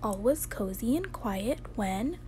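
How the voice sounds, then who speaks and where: A woman's voice: on the high side, expressive, slow, mellow. A young woman reading a children's story aloud expressively, in a home recording. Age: young adult. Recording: home recording with clear background noise.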